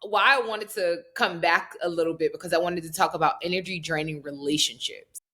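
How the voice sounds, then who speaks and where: A woman's voice: lowish voice, fairly even intonation, a little fast, sharp tone. A woman hosting an interview, affirming her guest and asking long, rambling questions. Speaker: podcast host. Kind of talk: casual interview conversation.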